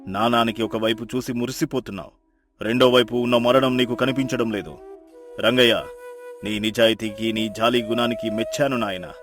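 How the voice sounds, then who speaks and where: A man's voice: medium pitch, fairly even intonation, normal speed, fairly bright tone, close to an everyday voice. A man playing a grave, benevolent river god, giving solemn pronouncements in a moral folktale. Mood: stern, approving. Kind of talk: animated moral folktale acting. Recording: music behind.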